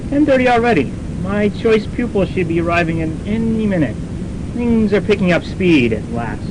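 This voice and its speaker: A man's voice: very animated, moderate pace. A man playing a fussy, scheming college professor with a hesitant stammer in a radio comedy. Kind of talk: campus radio comedy drama acting. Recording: noisy rumbling student radio recording.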